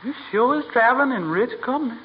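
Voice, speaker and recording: Actor playing a high-voiced, giggly 1940s radio maid. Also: female voice, animated, fast, lightly acted. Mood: sassy, friendly. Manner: giggly, folksy, mangles words. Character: cheerful, giggly household maid with sassy wit. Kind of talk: 1940s radio comedy acting. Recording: muffled, very noisy old radio recording.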